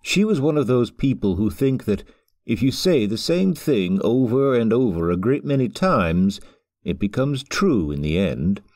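A man narrating a storybook audio drama in a measured, wry manner. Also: medium pitch, sing-song, a little mellow, dry recording, clean studio sound.

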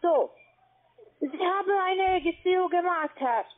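A non-native German-speaking woman with a foreign accent, making a sales pitch as a call-centre agent. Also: unhurried. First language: not German. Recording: tinny narrowband phone line.

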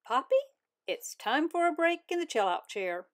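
A woman voicing a composed, kindly but firm female bear schoolteacher in a storybook, announcing to her class in a measured way. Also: high voice, big pitch swings, pitched above the performer's own voice.

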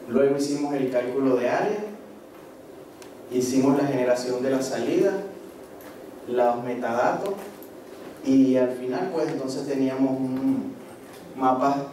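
A Venezuelan man gives an informal, halting presentation in a seminar room. He speaks at a slow pace, and his voice reaches the microphone from a distance, with clear noise behind it. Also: mid-range voice, mellow. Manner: halting, with frequent tag checks. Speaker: course participant presenting, marine geology researcher. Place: medium-sized indoor seminar room. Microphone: handheld microphone through PA, picked up by far camera. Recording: echoey room, distant camera microphone, noisy.

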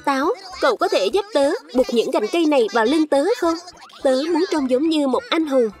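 A woman voicing excitable, childlike little fruit schoolchildren in a cartoon dub. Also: high-pitched, a little fast, faint music behind, natural voice. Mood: chatty.

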